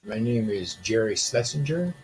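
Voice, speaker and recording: A man's voice: lowish voice, expressive, normal speed, a little mellow, slight background noise, rough recording. Matter-of-fact man in his seventies. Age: 70s. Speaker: person in recovery, interviewee. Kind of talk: recorded interview testimony.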